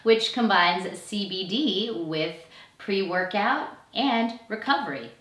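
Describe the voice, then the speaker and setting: A woman's voice: mid-range voice, expressive, slow pace, fairly bright tone. A woman co-hosting a health and fitness talk show, giving an upbeat welcome and asking chatty interview questions. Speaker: co-host.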